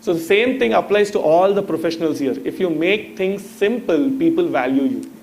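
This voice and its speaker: A man's voice: high voice, expressive, moderate pace, a little mellow, a little hiss or hum. A man in his thirties with an Indian accent, speaking as a guest in a motivational talk, teaching through stories and rhetorical questions. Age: 30s. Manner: didactic, storytelling, rhetorical questions.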